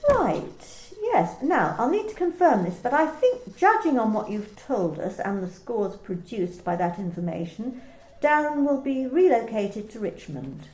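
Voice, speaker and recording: Woman doing a cold nosy office boss, high lively voice, mellow tone, echoey room. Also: a little slow. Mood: businesslike. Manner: calm, matter-of-fact, bureaucratic questioning. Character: coolly clinical female office manager, prying. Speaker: manager in an amateur radio play. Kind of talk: amateur lockdown radio play reading.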